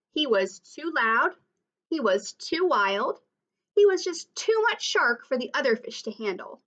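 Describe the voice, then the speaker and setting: A woman teacher reading a picture book aloud to children, pausing to explain and ask questions. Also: high voice, fairly bright tone, highs cut off. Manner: explanatory, asks listeners questions. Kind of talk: read-aloud storytelling with asides to children.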